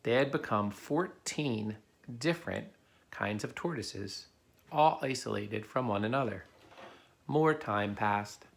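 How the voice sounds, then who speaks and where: A man's voice: on the low side, takes it slowly, vocal fry. A man reading a children's picture book aloud as a father would to his child, in a plain storytelling way.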